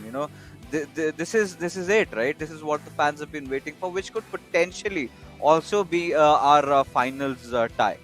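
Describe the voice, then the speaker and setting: Man, esports caster, excited, lots of fillers, music under. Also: fairly high pitch. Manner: hyped, filler-laden. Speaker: esports commentator. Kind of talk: live esports co-commentary.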